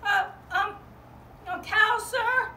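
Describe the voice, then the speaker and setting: A woman's voice: very high-pitched, slow pace, creaky, pushed and bright, voice pushed up, heavily acted. A woman voicing a timid little sheep girl who bleats and hesitates with little ums. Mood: shy. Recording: roomy, from far off, faint hum.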